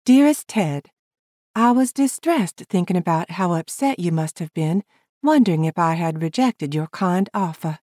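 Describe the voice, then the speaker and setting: A woman's voice: lowish voice, lively intonation, normal speed, darkish tone, no room sound, studio quality, voice pushed up, lightly acted. A woman voicing a polite, earnest young frontier lady who speaks formally.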